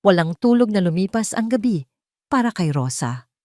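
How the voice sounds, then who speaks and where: A woman's voice: mid-range voice, sing-song, moderate pace, mellow, dry recording, studio quality. A woman narrator reading a scripted story in a dramatic storytelling style.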